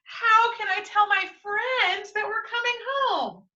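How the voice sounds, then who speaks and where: A woman voicing an excitable young girl from a picture book, dramatic and exclaiming. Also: very high voice, takes it slowly, pitched above the performer's own voice, exaggerated voice. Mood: dismayed. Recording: roomy echo, distant mic, muffled audio.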